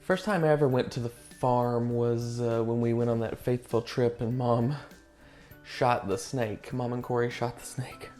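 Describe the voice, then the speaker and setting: A man casually telling a family memory in a halting, anecdotal way, as one relative sharing stories with others. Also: medium pitch, fairly even intonation, warm tone, faint music behind. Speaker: family member telling a story.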